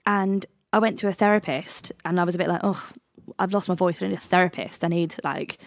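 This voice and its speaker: A woman's voice: fairly low pitch, brisk, vocal fry, no room sound, studio quality. A woman, a singer, candidly telling a personal story in conversation and acting out the dialogue. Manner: animated, acts out quoted dialogue. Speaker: podcast guest, singer.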